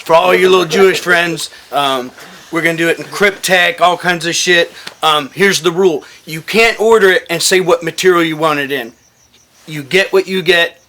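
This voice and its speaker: A man's voice: on the high side, moderate pace, pushed and bright, a little hiss or hum. A man telling stories casually to a group in a blunt, joking, heavily profane way. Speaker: firearms gear talk guest and former overseas contractor. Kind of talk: casual storytelling to a small group.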